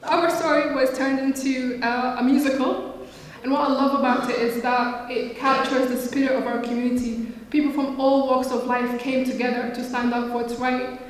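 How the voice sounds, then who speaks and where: Earnest woman from somalia, kinda high and flat voice, far off in a big echoey hall. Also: moderate pace, a little hiss or hum. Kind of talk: interview remarks.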